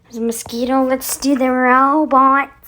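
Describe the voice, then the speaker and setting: A woman's voice: high-pitched, flat delivery, measured pace, pushed and bright. A woman hosting a channel, casually talking through a demo of voice effects. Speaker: channel host.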